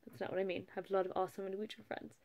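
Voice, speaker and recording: A woman's voice: medium pitch, level tone, racing, vocal fry, a little mellow. A woman in her thirties talking candidly to a webcam from a small wood-paneled room at night, with frequent restarts. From English-speaking country. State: emotional after a move. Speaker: vlogger. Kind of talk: casual chat to camera.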